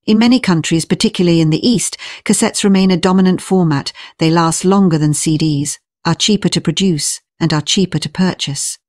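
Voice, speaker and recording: A woman's voice: deep voice, darkish tone, dry recording, clean studio sound. A woman reading a scripted informational narration as the narrator.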